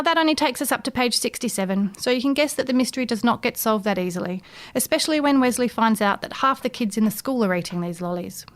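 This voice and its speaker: A woman reading out a scripted book review as a podcast narrator. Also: on the high side.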